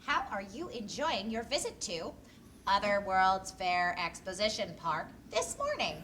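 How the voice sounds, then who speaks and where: A bright, crisply officious female park robot, acted by a woman in a retro radio play. Its phrasing is formal and stilted, yet the pitch swings in a lively way. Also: a little slow, slight background noise. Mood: polite, helpful. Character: polite, officious female theme park robot droid, turns menacing. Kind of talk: comic retro radio drama acting.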